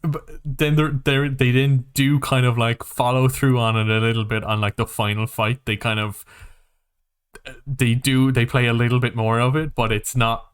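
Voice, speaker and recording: A man's voice: mid-range voice, smooth. Rambling, sweary man chatting. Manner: animated, sweary; rambling, halting. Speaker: podcast co-host. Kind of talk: casual film and game chat.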